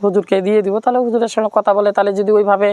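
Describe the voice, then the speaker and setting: Fast-talking Bangladeshi woman, plaintive and earnest. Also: medium pitch, little pitch movement, mellow, dry recording. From Rangpur, Bangladesh. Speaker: interview guest telling her life story. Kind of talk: personal account and plea to viewers.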